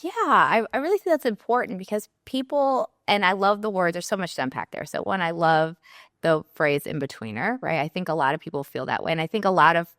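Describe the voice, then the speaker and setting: A woman's voice: fairly low pitch, lively intonation, a little fast, a bit bright, no echo, studio quality. A woman hosting a podcast, welcoming her guest with effusive enthusiasm in casual interview chat. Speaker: podcast host.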